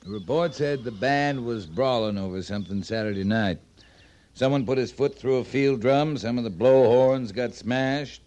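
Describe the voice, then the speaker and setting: An actor playing a drily humorous frontier cavalry captain in a radio western, with an understated, folksy, deadpan manner. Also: male voice, medium pitch, moderate pace, lightly acted. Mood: deadpan, respectful. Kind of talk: 1950s radio western acting.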